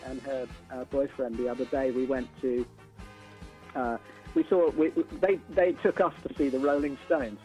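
A man's voice: mid-range voice, fairly even intonation, normal speed, a little mellow, faint music behind, rough recording. A middle-aged man talking casually and emphatically as a musician guest in a radio interview, often repeating phrases.

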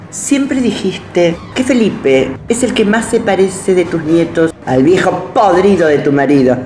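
A woman's voice: on the low side, darkish tone, echoey room. A woman voicing a vengeful, mocking wife with a Rioplatense Spanish accent. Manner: taunting, with cruel laughs.

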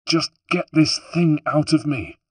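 A man's voice: fairly high pitch, a little slow, slightly rough, no room sound, pitched above the performer's own voice. A man voicing a wounded, foul-mouthed young woman thief who snarls and curses. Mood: snarling. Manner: snarling, cursing.

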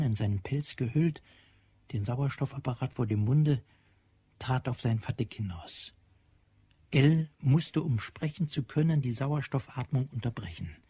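A man's voice: medium pitch, slightly rough, no echo. A man narrating a scripted science-fiction story in a dramatic style. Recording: loud music behind the voice.

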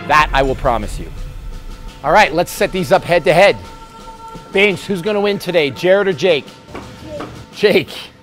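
Casting: American male gym coach, middle-aged, fairly high voice, emphatic and informal group address over prominent music. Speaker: gym instructor leading a challenge. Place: large gym hall with onlookers.

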